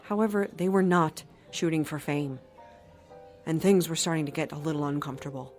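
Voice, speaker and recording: An actress narrating an audio drama as a wry storyteller in scripted style. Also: female voice, deep voice, brisk, darkish tone, lightly acted. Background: music.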